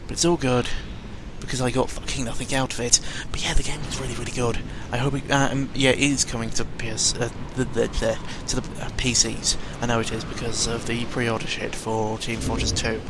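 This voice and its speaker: A young British man giving a casual, sweary, rambling audio log in the manner of a gaming vlogger. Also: medium pitch. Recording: clearly noisy amateur recording with ambient sound.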